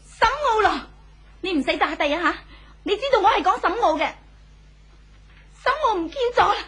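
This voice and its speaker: A woman's voice: extremely high pitch, animated, moderate pace, a bit bright. A woman acting a lovesick, accusing young wife in a 1970s radio drama, wailing in Hong Kong Cantonese. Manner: wailing, accusing, emotional delivery.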